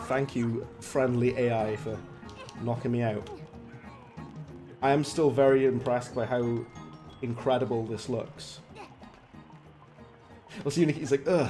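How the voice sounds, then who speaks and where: A man's voice: medium pitch, a little mellow. A man with a Welsh accent giving chatty, laughing gameplay commentary as he talks to his viewers. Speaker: gaming streamer. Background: game music and sound effects.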